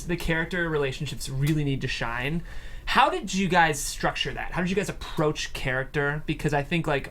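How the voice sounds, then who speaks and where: Female panel host, low voice, conversational interviewing style, some background noise. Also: fairly bright tone. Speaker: talk show host.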